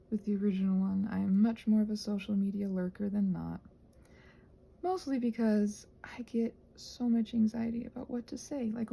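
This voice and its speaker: A woman with a fairly high, mellow voice chats in a casual ASMR ramble. She thinks aloud softly and meanders, poking fun at herself, with a noticeable fry in her speech. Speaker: ASMR creator rambling while crafting.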